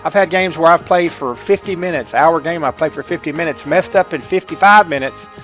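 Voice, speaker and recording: A man's voice: fairly high pitch, brisk, a bit bright, faint music behind. A middle-aged man giving a casual, folksy step-by-step game tutorial on a headset, addressing his viewers informally. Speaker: gameplay tutorial host.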